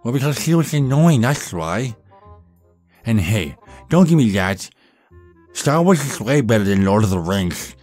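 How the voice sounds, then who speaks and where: A man's voice: mid-range voice, very animated, measured pace, pauses often, a little mellow, faint music behind. A man voicing a dorky young male vampire, pedantic, whiny and condescending, in a goofy roleplay monologue. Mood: smug, argumentative. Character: nerdy, dorky young male vampire, petulant Star Wars know-it-all.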